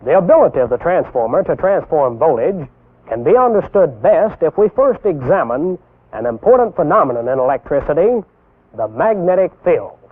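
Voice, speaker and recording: A young American man giving scripted instructional narration as a military instructor, deliberate and didactic, posing rhetorical questions. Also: on the high side, very animated. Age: young adult. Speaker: military instructor presenting a training film. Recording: tinny band-limited 1960s film soundtrack.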